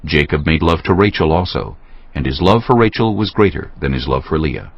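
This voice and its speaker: A man's voice: rumbling low, animated, a little fast, vocal fry, slightly rough, no echo. A man narrating a dramatized audio Bible in a solemn storytelling style. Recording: slightly dull sound, music underneath. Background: music.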